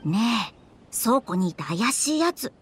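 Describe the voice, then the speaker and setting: A woman's voice: mid-range voice, big pitch swings, unhurried, slight background noise. A woman playing a worried, businesslike publishing house worker in an anime game, speaking casually and matter-of-factly. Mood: concerned.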